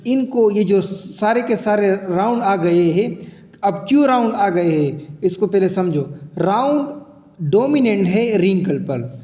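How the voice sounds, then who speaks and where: A man's voice: high-pitched, mellow, dull audio. A man teaching a biology lesson with a sore throat and a cough, explaining step by step and asking himself questions as he goes. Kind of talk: classroom lecture. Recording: echoey room with long reverb.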